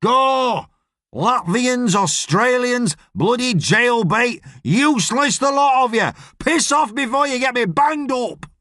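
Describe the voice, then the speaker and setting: A man acts out a shady middle-aged boss from an audiobook. He is gruff and blunt, barking irritably in a raised, animated voice with a very dry, close sound. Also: high voice, takes it slowly, no room sound, pitched above the performer's own voice, exaggerated voice. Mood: furious. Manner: blunt, sweary, shouty. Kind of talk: audiobook character voice.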